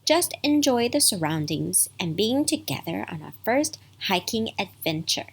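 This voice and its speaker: Lady doing a kind old grandpa, slow n soft, bit husky, gently explaining stuff, storybook. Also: medium pitch, big pitch swings, heavily acted. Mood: reassuring. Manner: soft-spoken, gentle, teaching. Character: kind, patient old grandfather, a nature-loving guide.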